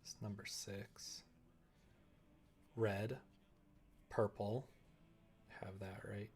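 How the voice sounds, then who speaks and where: A man's voice: lowish voice, little pitch movement, drawn-out words, darkish tone. A man livestreaming casually as he works, chatting and muttering to himself while he concentrates. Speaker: live streamer. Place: indoors at a computer.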